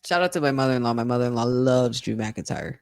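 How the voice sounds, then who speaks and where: A woman co-hosting a wrestling watch-along, talking in an excitable, chatty fan style with lots of "like". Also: a bass voice, fast talker. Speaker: podcast co-host. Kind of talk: casual watch-along commentary.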